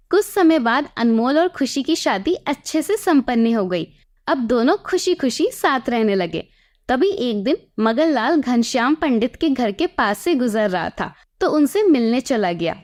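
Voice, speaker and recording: A woman's voice: high voice, darkish tone. A woman reading a scripted moral tale as its storyteller.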